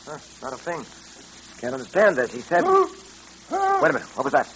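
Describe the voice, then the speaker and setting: An actor playing a decisive police detective in an old radio drama, with a clipped, businesslike manner. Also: male voice, mid-range voice, wildly swooping pitch, fairly quick, a bit bright, low-quality recording. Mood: urgent. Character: decisive, brisk male police detective hero. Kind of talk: 1930s radio drama acting. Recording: hissy old radio recording.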